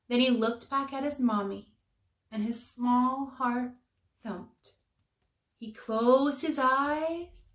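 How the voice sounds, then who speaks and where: A woman reading a children's storybook aloud with expressive, emphatic phrasing for young listeners. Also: on the high side, echoey room.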